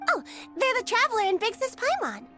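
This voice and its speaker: A woman's voice: extremely high pitch, huge pitch swings, brisk, bright, forceful tone, faint music behind, full character voice. A woman voicing a sweet, childlike magical creature in a game, guileless, eager and earnest. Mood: earnest, proud. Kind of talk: game character acting.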